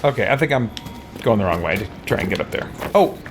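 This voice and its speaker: Animated man doing game commentary. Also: fairly low pitch, fast, noisy background. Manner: thinks aloud, exclaiming. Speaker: gaming channel host. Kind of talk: casual let's play commentary.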